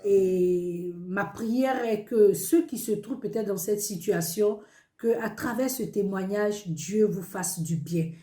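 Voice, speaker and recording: Warm-toned woman hosting in an echoey studio. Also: medium pitch, takes it slowly. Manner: affirming, with frequent amens. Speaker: show host.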